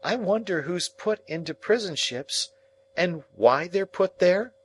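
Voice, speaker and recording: A man's voice: high voice, expressive, a little slow, slight background noise, pitched above the performer's own voice. A man voicing a small, curious and timid boy who keeps asking questions. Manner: hesitant, questioning.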